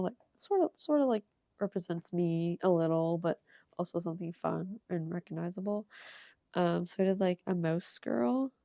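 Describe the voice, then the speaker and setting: A young woman with a cold and a slightly hoarse voice, rambling casually with ums and soft laughs as she shows her art. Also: medium pitch, dry recording, studio quality. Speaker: art channel creator. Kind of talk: casual sketchbook tour voice-over.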